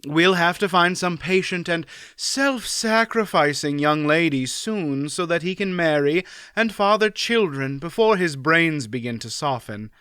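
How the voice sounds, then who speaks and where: A man voicing a shrewd older woman who speaks matter-of-factly, a bit raised and bright. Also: fairly high pitch, lively intonation, unhurried, hardly any pauses, clear, resonant tone, no room sound, clean studio sound, pitched above the performer's own voice. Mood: sly. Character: shrewd, dry-witted older aunt, woman.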